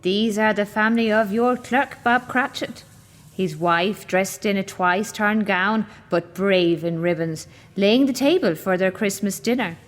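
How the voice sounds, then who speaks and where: A woman's voice: medium pitch, measured pace, close to an everyday voice. A woman from an amateur radio play voicing a jovial, commanding Christmas ghost. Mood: guiding. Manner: expansive, commanding, lively. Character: jovial grand ghost of Christmas, booming welcome.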